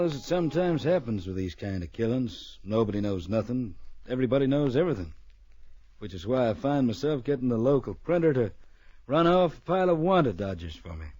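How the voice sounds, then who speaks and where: A stern frontier marshal in an old radio western. He speaks in clipped, commanding phrases with a deadpan edge. The recording is dull and aged, with very little room sound. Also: medium pitch, breathy edge, no room sound. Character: stern, dry, no-nonsense Wild West marshal. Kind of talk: old radio western acting with first-person narration.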